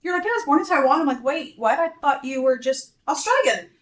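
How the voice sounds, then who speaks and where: Brisk American woman hosting a chat. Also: high voice, lively intonation, roomy sound. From United States. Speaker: podcast host. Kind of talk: casual interview chat. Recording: poor mic.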